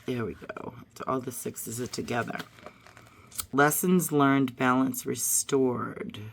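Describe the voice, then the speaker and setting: Hoarse lady w a cold doing tarot pulls, super low gravelly voice, slow and musing. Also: fairly even intonation, slight background noise. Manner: musing aloud, drifting to soft murmurs. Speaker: tarot reader. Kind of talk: casual card-reading vlog.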